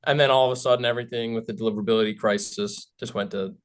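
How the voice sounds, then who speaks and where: A man's voice: fairly low pitch, steady tone, rapid-fire, clean tone. A man speaking casually as the client on a coaching call. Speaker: coaching client, agency owner.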